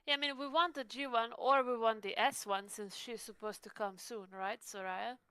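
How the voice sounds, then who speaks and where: Bright, clear-voiced woman, higher pitched, inquisitive. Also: steady tone, moderate pace, dry recording, rough recording. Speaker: player in a tabletop roleplaying game. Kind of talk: casual game-table chat.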